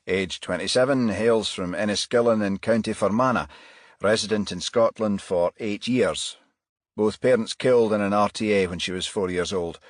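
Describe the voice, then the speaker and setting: A man's voice: on the low side, normal speed, no room sound, close to an everyday voice. Casting: methodical male police detective, dutiful, dry recording voiced by a male reader, crime audiobook. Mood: factual.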